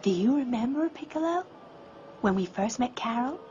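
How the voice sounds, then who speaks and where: A woman voicing a gentle, devout female pigeon who tells a story in a dubbed cartoon, earnest and presentational. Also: a little high, animated, normal speed, noisy background, natural voice. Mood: fond. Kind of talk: dubbed cartoon narration to children. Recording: muffled lo-fi audio with loud music.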